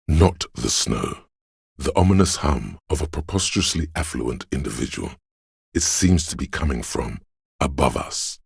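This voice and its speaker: A man voicing a flamboyant, cocky inner voice of style and hustle in a video game, with a suave, theatrical, deliberate delivery and a gravelly, husky tone. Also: very deep voice, dry recording, studio quality, full character voice. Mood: sly, scheming. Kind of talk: dramatic scripted game narration in second person.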